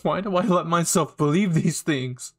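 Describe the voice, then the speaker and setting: A man's voice: fairly high pitch, moderate pace. A man playing an unhinged, bitter anime villain with a menacing, taunting manner, nursing an old betrayal in a dramatic fan roleplay. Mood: furious, hurt. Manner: menacing, taunting, swinging from quiet to outbursts.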